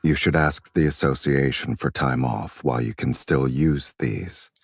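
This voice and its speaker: A man voicing a suave, teasing male crime boss in a game, with a very deep, husky, low-key drawl. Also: unhurried, dry recording, heavily acted.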